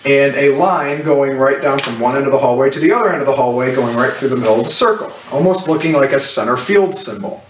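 A man acting as game master, describing scenes in a halting, explanatory way for a tabletop role-playing game. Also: medium pitch. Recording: roomy, muffled distant mic with clicks, hiss.

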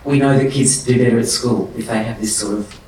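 A man speaking as an interview guest, impassioned and emphatic, his pitch jumping up when he gets animated. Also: brisk. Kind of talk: conversational interview. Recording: roomy and distant with faint noise.

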